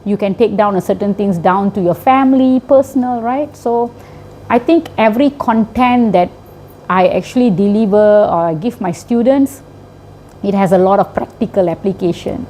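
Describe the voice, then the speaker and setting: A woman's voice: mid-range voice, moderate pace, dark tone, slight background noise. A middle-aged woman teacher with a Malaysian English accent, explaining her work in an interview and often ending points with a tag question. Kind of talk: interview answers.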